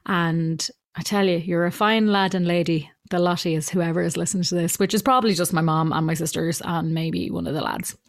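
A woman's voice: lowish voice, a little fast, dry recording. A woman with an Irish accent, a mix of Midlands and Dublin, hosting her podcast in a chatty, rambling way with frequent laughs. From Ireland. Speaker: podcast host. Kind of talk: casual podcast intro.

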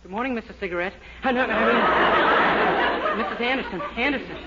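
A man's voice: extremely high pitch, normal speed, over a noisy crowd. A man playing a naive, eager, dim-witted young fiancé in a blundering, earnest way, in 1940s radio comedy style. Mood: flustered. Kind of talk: 1940s radio sitcom acting. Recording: muffled, noisy 1940s radio, studio audience.

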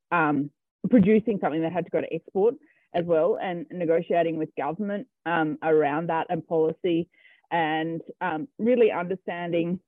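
Aussie farmer guy, high-ish voice, lots of ums, sounds like he's on the phone. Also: no echo. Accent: Australian. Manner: hesitant, full of ums and restarts. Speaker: cattle farmer guest. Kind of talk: casual interview answers. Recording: tinny phone-line sound, dry.

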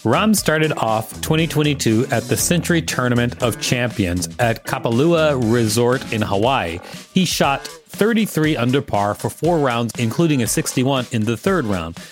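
Male co-host, read-aloud narration with dry teasing asides, soft music bed underneath. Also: mid-range voice, animated, normal speed. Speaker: podcast co-host reading an article aloud.

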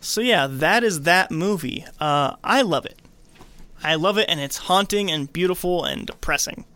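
A man's voice: on the high side, expressive, moderate pace, bright, forceful tone. A man co-hosting a film podcast, moving between narrated scene readings and casual discussion. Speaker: podcast co-host. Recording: faint background room noise.

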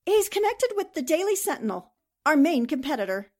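A woman acts out a defensive countess and impostor spy in 1940s radio style. Her voice is high and swoops theatrically, with an anxious, pleading manner. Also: sing-song, fairly quick. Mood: flustered. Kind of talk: 1940s radio drama acting.

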